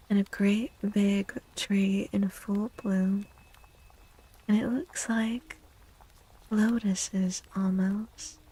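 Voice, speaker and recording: A woman speaks softly in an ASMR style, half-whispering with a breathy, mellow voice. Her delivery is slow and gentle, with deliberate pauses between phrases, as she hosts a tarot reading. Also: medium pitch, low-quality recording. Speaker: livestream host and tarot reader.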